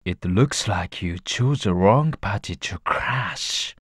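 Husky, cocky bodyguard thug. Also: male voice, low voice, expressive, takes it slowly, dry recording, studio quality, heavily acted. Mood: menacing. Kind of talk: video game cutscene acting.

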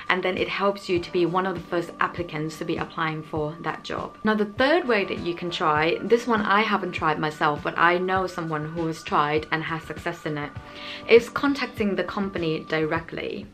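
A young woman speaks to camera in a small room at home, earnest and explanatory. Her voice sits a touch low for a woman, and soft music plays faintly beneath. Her English carries small grammar slips. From Thailand. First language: Thai. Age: young adult. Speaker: channel host. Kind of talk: scripted advice vlog to camera. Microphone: camera framing, head and shoulders.

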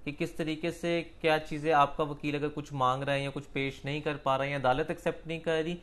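A man's voice: a little high, little pitch movement, fast talker, a bit bright. A man in his thirties with a Pakistani accent, interviewing conversationally as a host and mixing in English. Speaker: host and lawyer. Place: small book-lined law office. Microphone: lapel mic.

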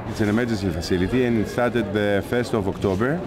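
A man's voice: on the low side, quick pace. A man coordinating an aid center, plainly listing facts with many fillers in an interview. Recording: outdoors with crowd noise.

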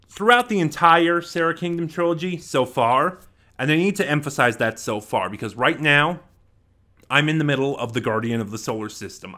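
A man's voice: mid-range voice, pushed and bright. A man in his twenties giving an unscripted review to camera, thinking aloud and correcting himself as he goes. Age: 20s. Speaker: audio drama reviewer. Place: small plain room at home, indoors. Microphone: desk microphone close in front.

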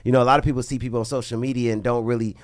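A man's voice: mid-range voice, little pitch movement, rapid-fire, no room sound. Male podcast host with a dry, close sound. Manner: colloquial street slang. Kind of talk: casual conversational interview. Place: small soundproofed podcast studio. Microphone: close studio podcast microphone.

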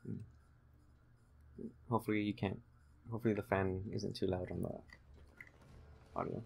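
Young man with a low, creaky voice. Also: little pitch movement, moderate pace, darkish tone. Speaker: gaming channel host playing the game. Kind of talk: casual let's play commentary. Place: room at home.

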